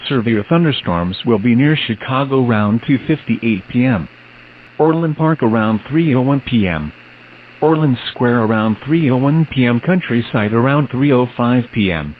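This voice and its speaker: A man's voice: mid-range voice, expressive, normal speed. Male weather radio announcer, muffled and noisy. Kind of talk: scripted emergency weather bulletin.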